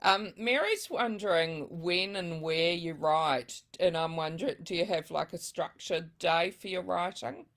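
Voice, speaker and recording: A woman's voice: fairly low pitch, a little slow, hardly any pauses. A woman hosting a book club interview over a video call, reading out audience questions and giving short, encouraging replies. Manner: soft, encouraging, many short affirmations.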